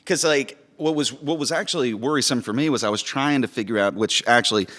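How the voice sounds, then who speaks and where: A man's voice: medium pitch, a little fast, fairly bright tone. A man chatting casually as a cast member, recorded with a reverberant room sound. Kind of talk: casual chat.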